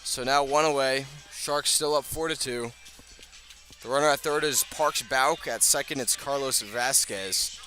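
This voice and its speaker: Guy calling a baseball game on the radio, bright forward voice, short phrases w/ pauses, faint music behind. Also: medium pitch. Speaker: play-by-play baseball announcer. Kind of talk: live radio baseball commentary. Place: outdoors at a ballpark.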